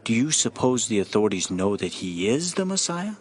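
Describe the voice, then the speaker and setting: A man's voice: lowish voice, very animated, fairly quick. A man playing a wondering believer among a crowd in an audio Bible drama.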